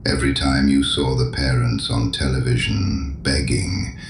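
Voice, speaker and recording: A man's voice: steady tone, roomy sound, faint music behind. An actor playing a courteous, uncanny male penitent who probes a priest's old sins in an audio drama. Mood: taunting. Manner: slow, silky, mocking, very deep. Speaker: mysterious penitent.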